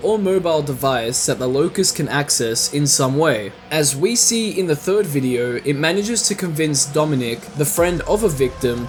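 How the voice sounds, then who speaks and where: A man narrating a scripted fan video essay in an explanatory way with casual asides. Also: on the high side. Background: music.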